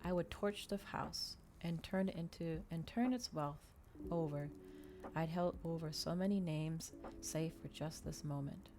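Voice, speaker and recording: A woman doing an ASMR reading of a novel, soft-spoken and measured, with a dramatic storytelling delivery. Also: deep voice, music in the background. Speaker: streamer reading a novel aloud.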